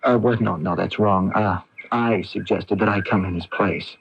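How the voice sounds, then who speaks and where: Low-voiced, earnest young medical graduate. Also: male voice, fairly even intonation, vocal fry. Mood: flustered, hesitant. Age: young adult. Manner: diffident, halting. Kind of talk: radio drama narration and dialogue. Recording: dull, noisy vintage AM radio broadcast.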